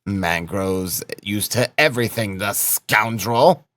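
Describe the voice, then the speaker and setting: A man's voice: deep voice, expressive, unhurried, dry recording. Man playing brooding, sardonic young man. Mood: bitter musing. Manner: bitter, sardonic, musing. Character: brooding, cynical young man thinking aloud. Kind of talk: audiobook character acting.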